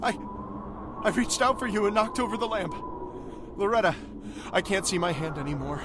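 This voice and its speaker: An actor playing a bewildered, frightened husband in an old-time radio horror play, halting and alarmed. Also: male voice, high-pitched, lively intonation. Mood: panicked. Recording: noisy, wind-like noise behind the voice.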